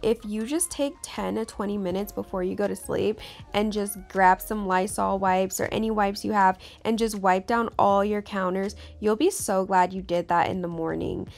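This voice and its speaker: Young American woman, creaky casual voice-over. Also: mid-range voice, steady tone, moderate pace, darkish tone. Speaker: vlogger giving cleaning tips. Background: background music.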